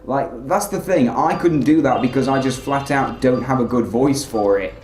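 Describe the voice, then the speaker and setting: A man giving rambling, filler-heavy let's play commentary in a flat, monotone way. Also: mid-range voice, normal speed, roomy sound, slight background noise. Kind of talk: casual gameplay commentary.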